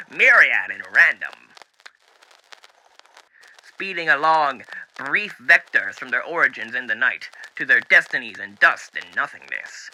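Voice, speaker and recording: A man's voice: medium pitch, bright, forceful tone, heavily acted, rough recording. A man voicing a genteel, eerily cheery demon radio host with showy, mannered delivery, in the style of 1930s radio acting.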